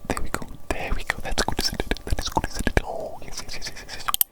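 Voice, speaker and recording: A woman's voice: fairly quick, lightly acted. A woman playing a playful evil doctor in an ASMR medical roleplay, whispering breathily with cooing, repeated phrases. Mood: pleased, coaxing. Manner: repetitive, cooing, singsong phrasing. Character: playful evil doctor tending a big creature.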